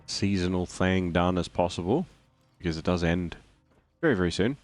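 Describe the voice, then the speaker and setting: A man with a croaky, flu-hoarse voice chatting casually while streaming a game and greeting viewers. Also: sits low, moderate pace, faint music behind. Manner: rambling, reads out chat and greets viewers. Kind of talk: casual gameplay stream chat.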